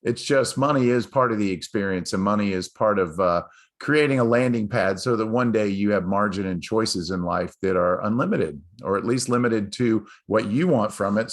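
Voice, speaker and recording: A male host with a fairly low voice opens his show with loose, eager talk, piling up fillers and restarts as he goes. His energy climbs when he gets excited about his guest. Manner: rambling, enthusiastic, many fillers and restarts. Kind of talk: live interview show opener.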